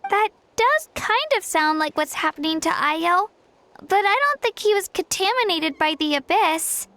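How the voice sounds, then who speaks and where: Very high-pitched, earnest child villager. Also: female voice, expressive, unhurried, fairly bright tone, dry recording, heavily acted. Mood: hesitant. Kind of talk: voiced video game dialogue. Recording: background game music.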